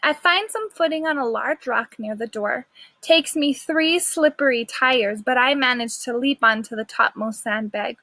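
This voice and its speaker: A woman's voice: high-pitched, moderate pace, sharp tone, no room sound. A woman teacher reading a children's story aloud expressively, with simple explanatory asides to her young listeners.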